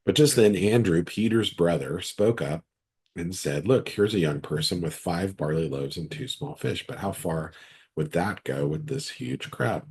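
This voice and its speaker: A deep-voiced man with a husky, rough throat from a cold talks through a Bible passage. He reads the scripture, then teaches in a casual, conversational way from his computer, often circling back to restart a thought. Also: animated, unhurried, a little mellow. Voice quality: raspy, very deep voice. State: hoarse from a cold. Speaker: pastor. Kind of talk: online midweek Bible study, reading scripture and teaching.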